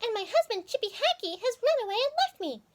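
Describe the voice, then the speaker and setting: A woman's voice: very high voice, sing-song, fast talker, voice pushed up. A woman voicing a small, fretful chipmunk wife in a storybook, fussy and chattering. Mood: flustered complaint. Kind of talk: storybook character voice.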